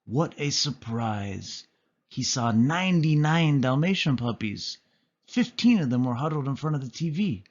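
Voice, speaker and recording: Male storyteller, expressive children's read-aloud, mid-range pitch, dull lo-fi recording. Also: unhurried.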